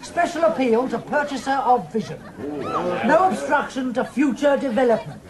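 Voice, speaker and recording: An actress playing a formal official who declaims a written proposal in an audio drama. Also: female voice, high voice, expressive, moderate pace, a loud crowd.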